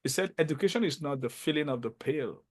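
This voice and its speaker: A man hosting an interview podcast, speaking with enthusiasm and emphasis, often halting and repeating words. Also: a little high, lively intonation. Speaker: podcast host.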